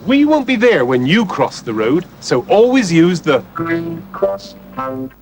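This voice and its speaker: An actor playing a brisk superhero who teaches children road safety in a 1970s TV film. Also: male voice, a little high, sing-song. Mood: instructive. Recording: old television film soundtrack with music.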